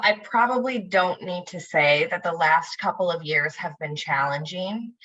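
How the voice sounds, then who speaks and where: A woman from the United States presenting a webinar over a video call, upbeat and welcoming, speaking in clear prepared phrases. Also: on the low side, bright, forceful tone. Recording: dull lo-fi video call audio.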